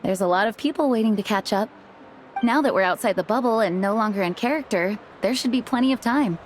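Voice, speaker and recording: An actress playing a calm, dutiful female knight leader who speaks in a measured, kind way. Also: mid-range voice, a little hiss or hum, lightly acted. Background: soft game music.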